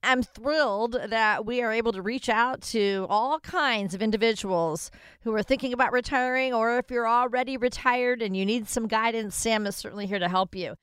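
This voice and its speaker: A woman's voice: a little high, fairly bright tone, clean tone, no room sound. A woman in her sixties co-hosting a radio show, speaking in a cheerful, upbeat way as she introduces the advisor and asks questions. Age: 60s. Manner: cheerful, reads out phone numbers slowly. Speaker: radio co-host.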